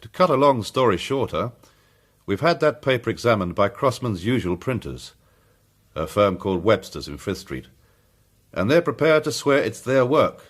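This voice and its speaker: An actor playing a methodical police chief inspector in a period detective radio drama, speaking in a formal, measured, matter-of-fact way. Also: male voice, on the low side, big pitch swings. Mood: businesslike.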